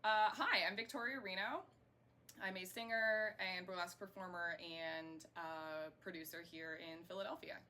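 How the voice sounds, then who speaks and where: A woman's voice: fairly low pitch, fairly even intonation, moderate pace, pushed and bright. An American woman in her thirties from Florida, giving chatty, rambling answers in a casual interview. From Florida, United States. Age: 30s. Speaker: interviewee, singer and burlesque performer. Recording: clear background noise.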